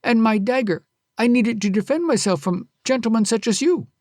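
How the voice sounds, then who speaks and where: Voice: mid-range voice, animated, brisk, a little mellow, no room sound, clean studio sound, voice pushed up, lightly acted. A storyteller voicing a polite, earnest young male traveler who pleads courteously. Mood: pleading.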